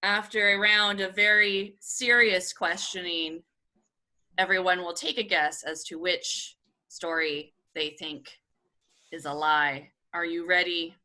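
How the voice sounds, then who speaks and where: A woman hosts a comedy panel game from home over a video call. Her voice is bright and clear-edged, and she speaks at a slow, measured pace. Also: fairly low pitch, steady tone. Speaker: game show host. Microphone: video call microphone.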